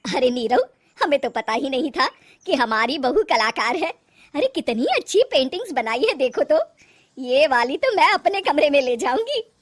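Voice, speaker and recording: A woman voicing a loud, bossy older mother-in-law. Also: sits high, big pitch swings, normal speed. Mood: warm. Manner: loud and commanding, calls out to her daughter-in-law.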